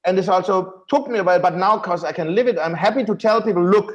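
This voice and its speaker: German-accented man, high for a man. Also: quick pace, muffled recording. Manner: earnest storytelling, enthusiastic about persistence. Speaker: guest author. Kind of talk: podcast interview.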